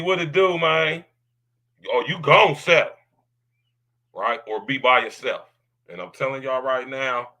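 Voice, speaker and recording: Male podcast host, deep baritone with a bright edge, loud drawling slang, keeps asking "right?". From United States. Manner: loud, drawling slang, repeats tag questions. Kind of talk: casual podcast monologue.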